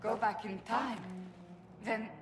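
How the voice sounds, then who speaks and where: A woman's voice: fairly high pitch, fairly even intonation, takes it slowly, gravelly, noisy background. A woman voicing a wounded, despairing and devout warrior in a video game, solemn and anguished. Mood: resigned, pleading. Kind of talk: dramatic video game acting. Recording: echoey stone hall reverb.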